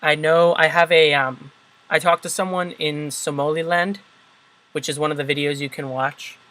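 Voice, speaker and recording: A man's voice: a little high, fairly even intonation, moderate pace, sharp tone. A man who makes videos, hosting a casual chat, talking hesitantly and searching for his words. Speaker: video maker, host. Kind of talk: casual conversation.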